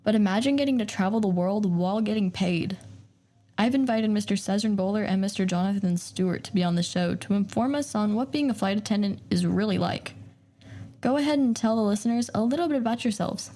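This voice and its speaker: A young woman hosts an interview and keeps her questions brief and friendly. Her voice is mellow and warm at an everyday pitch. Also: a little fast. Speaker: host. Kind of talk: interview hosting.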